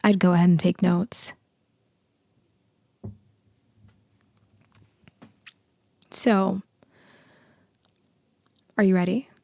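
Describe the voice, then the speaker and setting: Low, creaky, commanding lady boss played by a woman. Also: fairly even intonation, no echo. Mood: commanding, intimate. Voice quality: deep, slightly creaky, hushed in places. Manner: slow, deliberate, commanding, with weighty pauses. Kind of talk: ASMR roleplay acting.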